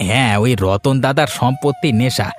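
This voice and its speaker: A man's voice: mid-range voice, normal speed, faint music behind, close to an everyday voice. A man playing a helpful, scheming villager in a cartoon moral story, explaining things with assurance. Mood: confident, plotting.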